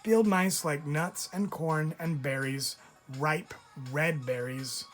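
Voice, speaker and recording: A man voicing an earnest, excitable young male field mouse in a storybook, with pleading, emphatic delivery. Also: on the high side, slow pace. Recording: music under the voice.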